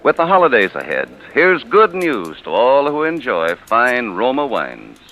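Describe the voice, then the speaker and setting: A man's voice: mid-range voice, huge pitch swings, unhurried, sharp tone. A man reading a polished, emphatic 1940s radio wine commercial as announcer. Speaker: sponsor announcer.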